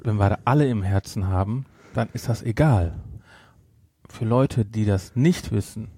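A man's voice: on the low side, moderate pace, dark tone, slightly rough, no echo. A middle-aged man chatting casually and jokingly as a podcast co-host, rambling as he goes. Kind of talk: casual unscripted podcast chat.

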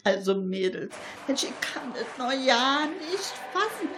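A woman's voice: high voice, sing-song, a little slow, noisy background. A woman playing a cheerful, chatty female amateur detective in a colloquial radio crime play. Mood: amused, excited. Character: Lotte, cheerful female amateur detective, amazed at her luck.

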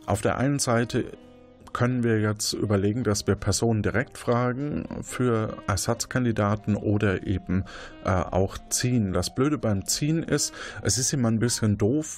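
A man hosting a podcast talks through the wrap-up in a relaxed, casual way, pausing on fillers. His voice sits on the lower side. Faint music plays under him. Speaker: podcast host.

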